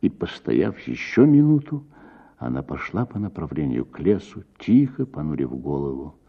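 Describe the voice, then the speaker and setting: A man reading a literary short story as expressive, theatrical audiobook narration for radio, with deliberate pauses and a husky voice. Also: rumbling low, highs cut off.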